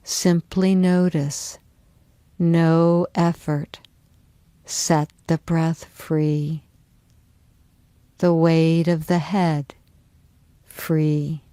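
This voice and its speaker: A woman teacher leading a guided yoga relaxation in a soothing, unhurried, instructional manner. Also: deep voice, level tone, warm tone, clear, resonant tone, no room sound.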